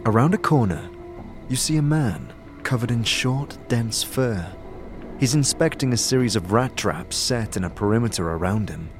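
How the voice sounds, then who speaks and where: A man giving dramatic, scripted second-person narration for a fantasy audio drama. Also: on the low side. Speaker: narrator and player character. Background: music.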